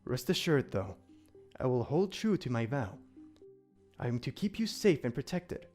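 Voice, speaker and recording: A man playing a calm, solemn immortal demon protector in an audio drama, speaking in formal, measured, archaic phrasing. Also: mid-range voice, faint music behind, lightly acted. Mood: gentle, assuring. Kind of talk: audio drama character acting.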